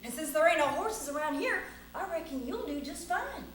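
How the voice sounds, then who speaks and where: A woman's voice: high-pitched, sing-song, normal speed, fairly bright tone, pitched above the performer's own voice. A woman playing a plucky, boastful cowboy who spins a tall tale with folksy Western bluster, as live storytelling. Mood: coaxing. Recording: very echoey room, faint noise.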